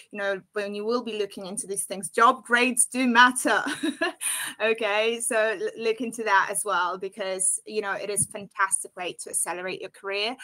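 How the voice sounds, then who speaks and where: A woman from Poland speaks as a guest on an online careers session. Her tone is bright and clear, and she talks in a loose, chatty way. She laughs easily and fills her sentences with "uh" and "you know.". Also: mid-range voice. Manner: chatty, laughs often, many fillers. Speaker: company guest on a careers webinar. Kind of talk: casual advice on a live webinar.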